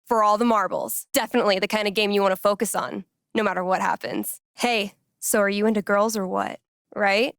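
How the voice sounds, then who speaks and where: A woman's voice: mid-range voice, steady tone, a little fast, a bit bright, no echo, clean studio sound, lightly acted. An actress playing a wry, casual young woman in a video game, teasing and loyal. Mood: playful, teasing. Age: young adult. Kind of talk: naturalistic video game dialogue acting.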